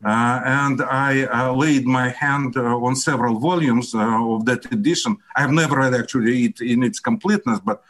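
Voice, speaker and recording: Older Russian-accented professor, hesitant. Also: male voice, medium pitch, moderate pace, hardly any pauses, fairly bright tone. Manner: hesitant, reflective, many fillers. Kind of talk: interview answers.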